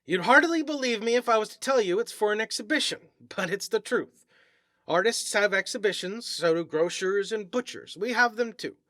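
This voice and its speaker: A man's voice: high-pitched, lively intonation, moderate pace, sharp tone, dry recording, studio quality, pitched above the performer's own voice. A man voicing a big, jovial, blunt working-class stonemason with a chatty, colloquial manner in a read-aloud short story. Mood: chatty, explaining.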